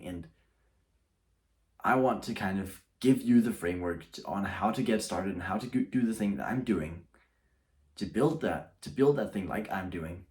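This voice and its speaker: A young man speaks casually to camera in a deep, low voice. He rambles, often breaking off and restarting his sentences as he shares his thoughts. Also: darkish tone. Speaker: YouTuber.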